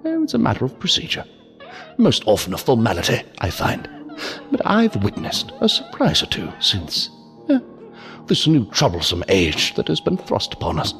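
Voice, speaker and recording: A man's voice: mid-range voice, wildly swooping pitch, moderate pace, a bit bright, soft background music. A man playing a sinister, courtly, inhuman villain in an audio drama, verbose and mockingly polite in a mannered, theatrical way. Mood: coolly condescending. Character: sinister, courtly, unhurried villain, inhuman interrogator posing as a gentleman. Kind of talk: science fiction audio drama acting.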